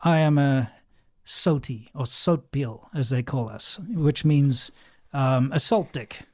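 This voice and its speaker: A man's voice: medium pitch, lively intonation, slow pace, no echo, clean studio sound. A young man with a South African accent, speaking bluntly and outspokenly in a monologue to camera. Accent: South African English. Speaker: video creator. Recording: played back within a reaction video.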